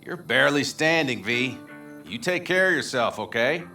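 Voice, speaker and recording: A bright-voiced, caring nomad man. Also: medium pitch, animated, normal speed, far from the mic, faint music behind. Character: friendly, caring male nomad comrade. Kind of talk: naturalistic video game acting.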